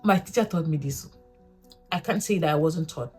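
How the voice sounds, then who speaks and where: Young woman, from Africa, not a native speaker, low voice, husky, earnest, self-correcting, faint background music. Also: normal speed, a little mellow. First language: a local mother tongue. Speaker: vlogger. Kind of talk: casual reflective talk to camera.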